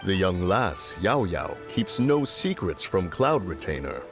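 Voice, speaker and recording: Grave ancient adeptus; low and formal, measured archaic delivery. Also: male voice, very animated, over music. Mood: composed. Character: ancient solemn male adeptus in human guise. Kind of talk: game voice acting.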